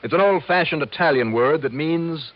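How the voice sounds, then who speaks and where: An actor playing a sharp, impatient investigator in an old radio detective drama, with a wry, clipped delivery. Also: male voice, on the high side, normal speed, lightly acted. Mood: insistent, accusing. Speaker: lead detective and narrator. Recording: dull old radio recording, music behind.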